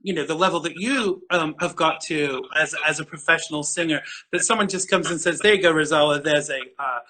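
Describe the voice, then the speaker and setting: A man's voice: fairly high pitch, fairly quick, bright, forceful tone, rough recording. A middle-aged man hosting a live interview show, chatty and effusive, tripping over his words with enthusiasm. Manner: effusive, chatty, lots of fillers and restarts, fan enthusiasm. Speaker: show host. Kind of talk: casual livestream interview.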